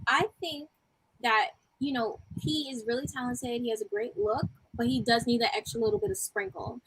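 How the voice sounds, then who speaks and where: High, bright woman with a tiny voice. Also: lively intonation, moderate pace, husky, low-quality recording. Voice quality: small, tiny voice. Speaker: co-host of a wrestling review show. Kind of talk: casual chat, commentary.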